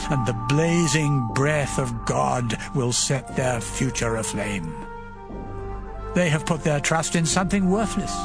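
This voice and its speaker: A stern biblical elder, preaches scornfully in an audio drama. He speaks slowly and emphatically, with wide swings of pitch, while music plays clearly behind him. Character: stern, scornful male elder admonishing a sufferer.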